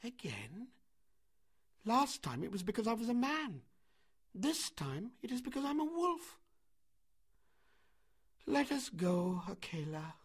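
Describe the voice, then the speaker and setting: A proud wolf-raised boy acted by a male narrator speaks in a storybook tale. He declaims in a high, animated voice with scornful defiance. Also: slow, long pauses, slightly rough, heavily acted. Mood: bewildered.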